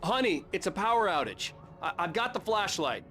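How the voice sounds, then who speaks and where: A man's voice: sits high, sing-song, moderate pace, sharp tone, full character voice. An earnest, intense man in a dramatic video game. His voice is everyday and natural, that of an anxious husband, with music playing behind it. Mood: warm, reassuring.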